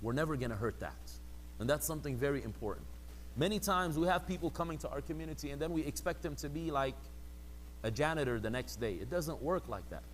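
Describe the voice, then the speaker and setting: A man giving a religious lecture in a relaxed, conversational way, his voice sitting somewhat high. He shifts into quick voices for the people he quotes. A faint hum sits under the recording. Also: poor audio. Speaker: religious teacher.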